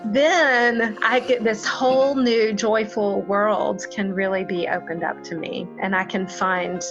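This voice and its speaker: A woman's voice: medium pitch, fairly even intonation, takes it slowly, highs cut off, music in the background. A woman pastor giving a thoughtful, reflective interview answer, often laughing at herself.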